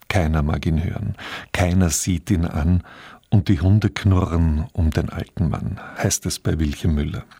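A man reads a scripted cultural introduction as a radio presenter, measured and articulate. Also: low-pitched, darkish tone, breathy edge, dry recording, studio quality. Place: radio studio.

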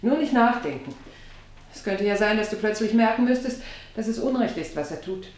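An actress in a radio play playing a rancher's disillusioned young daughter, pointed and accusing, in short clipped phrases. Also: female voice, medium pitch, lively intonation, a little fast, a little mellow, lightly acted. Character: bitter, disillusioned grown daughter of an ambitious rancher. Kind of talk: German radio play acting. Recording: roomy echo, background noise and ambience.

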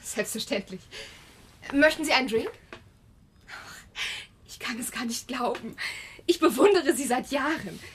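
An actress playing a chatty young understudy actress in a German radio drama, gushing and a bit nervous. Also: female voice, high voice, lively intonation, a little slow, pauses often, slight background noise. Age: young adult.

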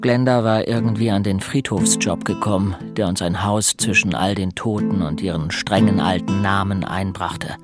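A man's voice: low-pitched, normal speed, hardly any pauses, close to an everyday voice. A man playing a resigned narrator who recalls a bleak boyhood, in dramatic scripted first-person narration. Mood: brooding. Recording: music behind the voice.

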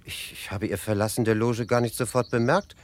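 An actor in a German radio drama playing an earnest, naive, insecure young provincial gentleman, hesitant and polite. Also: mid-range voice, steady tone, a little fast, lightly acted. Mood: bewildered, pleading.

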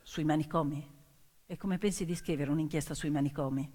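A stage actress puts on a gruff, skeptical male editor, pitched down low and spoken dryly. The delivery is measured and questioning, theatrical storytelling in a hall with long reverb. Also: fairly even intonation, warm tone. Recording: reverberant hall, piano accompaniment.

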